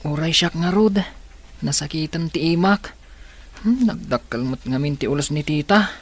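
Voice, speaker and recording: Actress as resigned orphan sibling; low, muffled. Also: female voice, moderate pace, natural voice. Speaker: radio drama actor. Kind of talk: radio drama acting.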